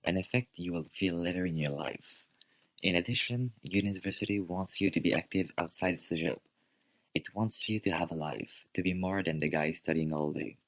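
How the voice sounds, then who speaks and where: A young man, a student, making his points in a scripted radio debate with formal, measured wording. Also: deep voice, no room sound, rough recording. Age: young adult.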